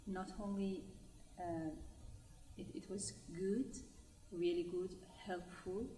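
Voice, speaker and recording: Woman from Iran, slow halting speech, mellow tone, echoey and far off. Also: on the low side, little pitch movement. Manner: halting, many pauses. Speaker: hospital volunteer.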